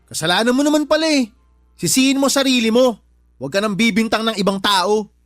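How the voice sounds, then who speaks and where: A man's voice: very high voice, fairly quick, fairly bright tone, dry recording, studio quality, faint music behind. An actor playing an insolent, menacing young man in a Filipino radio drama, defiant and sneering. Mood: mocking, dismissive.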